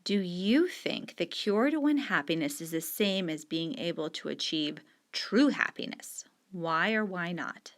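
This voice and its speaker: A middle-aged woman speaks as a teacher walking students through reading questions. She talks in an unhurried, deliberate way, leaning on key words and repeating each question while she marks up the page with a pen. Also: fairly low pitch, lively intonation. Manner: annotating on screen with a pen while talking. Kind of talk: explaining discussion questions to students.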